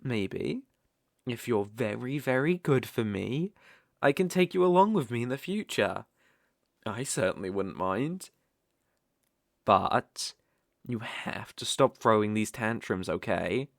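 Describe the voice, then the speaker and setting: A possessive, sadistic dark elf slave master with a British accent , acted by a man, made for intimate ASMR roleplay. He speaks unhurriedly, with a gravelly creak and a close, dry sound. His manner is taunting and condescending, lingering over every threat. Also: medium pitch, animated, slow pace, long pauses, dry recording.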